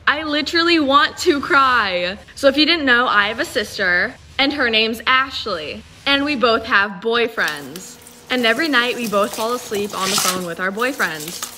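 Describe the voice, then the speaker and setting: A woman's voice: fairly high pitch, pushed and bright, slight background noise. A young adult woman giving a casual, dramatic storytime and acting out the dialogue.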